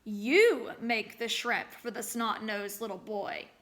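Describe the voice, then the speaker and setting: A woman's voice: fairly high pitch, takes it slowly, fairly bright tone. A woman reading aloud as a poor storybook flower seller, a wishful man growing greedy. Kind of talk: storybook read-aloud character voice.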